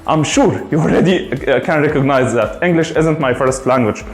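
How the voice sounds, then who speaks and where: A man's voice: on the high side, normal speed, roomy sound. Rambling Egyptian man in his thirties. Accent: Egyptian Arabic. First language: Arabic. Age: 30s. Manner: rambling, many fillers and restarts. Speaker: gaming streamer. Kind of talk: casual rant to camera.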